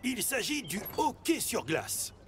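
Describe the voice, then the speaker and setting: A man voicing an excitable talking truck in a children's cartoon, with a theatrical, exaggerated delivery. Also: extremely high pitch, takes it slowly, background noise, heavily acted. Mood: playful, encouraging. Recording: loud music under the voice.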